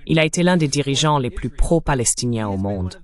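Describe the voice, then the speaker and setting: A man delivering a voice-over translation of political commentary in a measured, explanatory style. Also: mid-range voice, animated.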